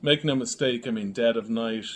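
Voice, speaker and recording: Male writer-director interviewee, medium pitch, keen but halting conversational delivery, light background ambience. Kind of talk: casual interview discussion. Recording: faintly noisy room ambience.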